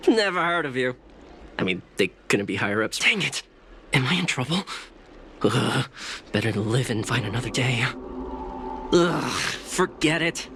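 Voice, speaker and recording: A lively, swooping male voice drawls and wheedles over faint background noise. It is a greedy, shifty blusterer from an anime game, full of smugness. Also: medium pitch, unhurried, long pauses, heavily acted. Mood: blustering, nervous.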